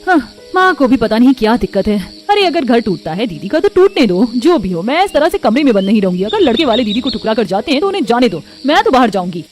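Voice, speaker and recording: A woman's voice: fairly high pitch, very animated, quick pace, few pauses, faint music behind. A woman voicing a spoiled, defiant young woman in a cartoon story, blunt and complaining. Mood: irritated. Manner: blunt, complaining, talks back. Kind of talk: Hindi cartoon story voice acting.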